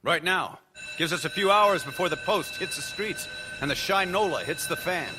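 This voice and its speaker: An actor playing a driven, combative newspaper lawyer in a radio drama, emphatic and colloquial. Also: male voice, high voice, moderate pace, long reverb tail, heavy background noise, natural voice. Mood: urgent.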